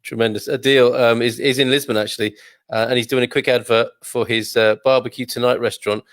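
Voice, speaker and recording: A man hosting an interview, upbeat and quick with praising interjections. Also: medium pitch, little pitch movement, dry recording. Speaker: host.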